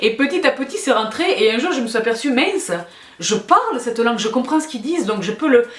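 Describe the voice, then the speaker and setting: A woman's voice: on the high side, lively intonation, fast talker. Effusive woman in an echoey room. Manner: effusive, affectionate, emphatic with repeated phrases. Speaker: online video host. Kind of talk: casual question-and-answer chat to followers. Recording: echoey, roomy sound.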